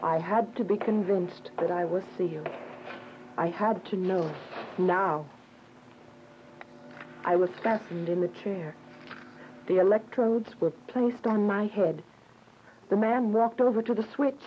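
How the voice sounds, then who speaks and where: A woman's voice: mid-range voice, a little slow, long pauses, noisy background, close to an everyday voice. A woman playing a devout, earnest young believer, narrating with emotion in a radio drama. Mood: anguished. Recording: muffled audio with music bed.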